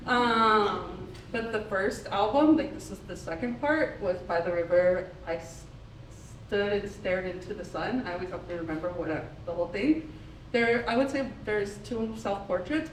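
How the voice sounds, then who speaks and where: A woman with a loud voice speaking casually as an artist on a gallery panel, searching for words with many fillers. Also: medium pitch, slight background noise. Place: art gallery. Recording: echoey gallery, mic far off.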